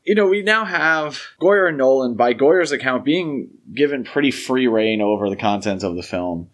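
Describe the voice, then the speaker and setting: A man speaking as a podcast co-host, discussing film in a conversational, measured, explanatory way. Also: mid-range voice, animated.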